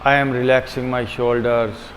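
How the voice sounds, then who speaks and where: Slow-paced man guiding meditation in reverberant space. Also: medium pitch, level tone. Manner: deliberate, repetitive, with frequent pauses; switches between Hindi-Urdu and English. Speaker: yoga and meditation teacher. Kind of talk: guided meditation, calm instruction. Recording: long hall reverb, faint background hum.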